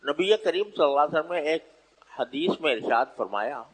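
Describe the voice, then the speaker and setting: Male Islamic preacher, slightly high voice, deliberate phrasing with pauses, formal sermon delivery, long hall reverb. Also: lively intonation, slightly rough. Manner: deliberate, pausing between short phrases. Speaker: Islamic preacher addressing listeners. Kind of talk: sermon, unscripted religious exposition.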